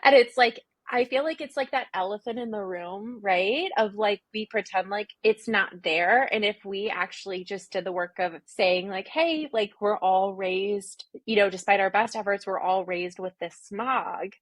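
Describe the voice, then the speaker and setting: A woman's voice: medium pitch, normal speed, few pauses, no echo. A woman from Singapore talking candidly and informally as an interview guest, reflecting and voicing her inner dialogue. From grew up in Singapore. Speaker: interview guest, therapist and former teacher.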